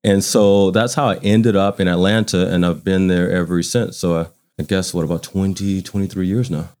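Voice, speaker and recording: A man's voice: deep voice, steady tone, moderate pace, darkish tone. A man in his forties from Louisiana with an American accent, chatting casually and reflectively as he tells anecdotes in an interview. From Baton Rouge, Louisiana, United States. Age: 40s. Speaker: interview guest. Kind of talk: casual conversational storytelling.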